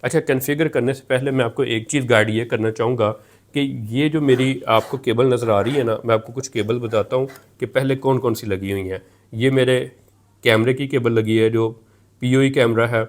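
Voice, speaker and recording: A man presents a practical tech tutorial in a mid-pitched voice. He explains things step by step, often restating what he has just said to make it clear. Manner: explanatory, repeats and rephrases each step. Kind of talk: step-by-step hands-on tutorial narration.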